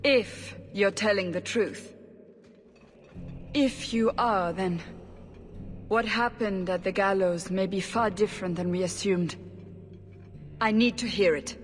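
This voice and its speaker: A woman playing a stern, probing interrogator in a video game, intense and deliberate. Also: medium pitch, lightly acted. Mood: intent, demanding. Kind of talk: video game cutscene acting. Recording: long hall reverb.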